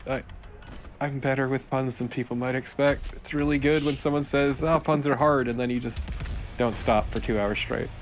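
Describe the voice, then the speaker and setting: A man's voice: mid-range voice, steady tone, moderate pace. A man chatting casually as co-commentator on a let's play. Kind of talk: casual banter over game. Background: game music.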